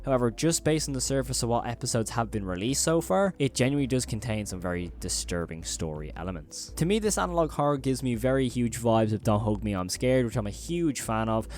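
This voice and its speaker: A man's voice: mid-range voice, lively intonation, fairly quick, few pauses, darkish tone. Man giving emphatic horror commentary. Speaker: video host.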